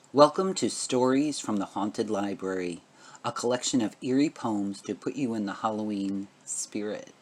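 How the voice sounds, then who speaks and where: A man works as presenter and narrator. His voice sits fairly low, and he delivers scripted material with theatrical care. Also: a little mellow.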